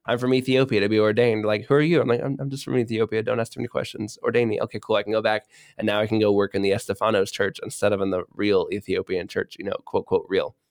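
A man co-hosting a podcast, telling a history story in a casual, lively way and voicing the people in it. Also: on the low side, quick pace, few pauses, smooth, no room sound, studio quality. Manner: animated retelling with voiced quotes and fillers.

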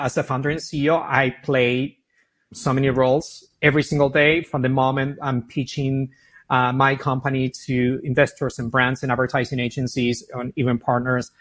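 A man from Argentina with an Argentine Spanish accent, a founder and CEO answering interview questions in an animated way, often repeating words as he thinks. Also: medium pitch.

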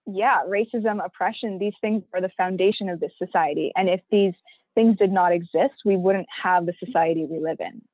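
A woman's voice: lowish voice, moderate pace, breathy edge, dry recording. A young woman guests on a casual podcast, speaking earnestly and building her argument with frequent "um"s. Her voice comes through a tinny, phone-like line with no room sound. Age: young adult. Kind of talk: casual conversational interview.

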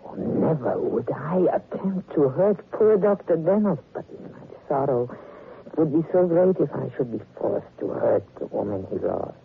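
An actor playing a suave, menacing little foreign blackmailer in 1940s radio drama style, mannered and excessively polite. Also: male voice, a little high, expressive, moderate pace, vocal fry. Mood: veiled menace. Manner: overly polite, sly, mannered. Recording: noisy, muffled old radio recording.

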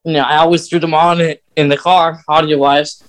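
A man with a fairly high voice talks casually as a podcast co-host. He explains fan lore in a halting way, often pausing in the middle of a sentence. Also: level tone.

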